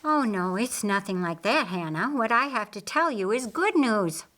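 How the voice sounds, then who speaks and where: A woman voicing a kindly, earnest male master of a household in an audiobook, speaking in a measured, formal way. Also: medium pitch, expressive, a bit bright, close to an everyday voice. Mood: formal, glad.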